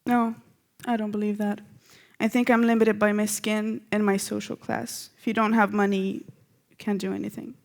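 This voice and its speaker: Socially engaged, defiant young woman, read by a woman in a stage play reading, mid-pitched. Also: flat delivery, normal speed, creaky, natural voice. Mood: frustrated. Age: young adult.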